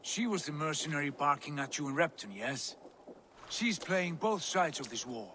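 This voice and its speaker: Male actor as grim Viking warrior, matter-of-fact, a little high in pitch, dramatic game acting, clear ambient noise. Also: expressive, normal speed, natural voice. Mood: suspicious.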